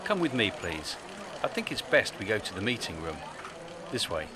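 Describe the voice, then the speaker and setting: A man acts a courteous, businesslike male site manager in a radio play. His voice is fairly low with lively intonation, set against clear background noise. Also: moderate pace. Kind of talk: radio drama acting. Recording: noisy outdoor-like background ambience.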